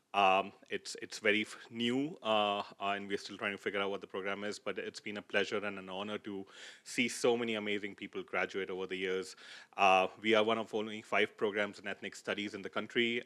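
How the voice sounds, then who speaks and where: A man introducing a graduate program at a ceremony, speaking loudly with halting starts and frequent fillers. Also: fairly low pitch. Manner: loud, halting, with many fillers. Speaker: associate chair of graduate studies.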